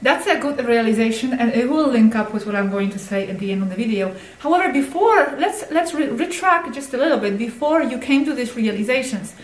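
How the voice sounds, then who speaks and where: A woman's voice: on the high side, expressive, fairly quick, few pauses. Woman interviewer in an echoey room. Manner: repeats and rephrases questions. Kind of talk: spiritual interview, probing questions.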